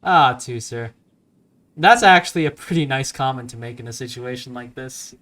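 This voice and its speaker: A man's voice: mid-range voice, moderate pace, bright, forceful tone. A man giving informal, amused gameplay commentary, thinking aloud as he reacts. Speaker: let's play commentator. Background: game music.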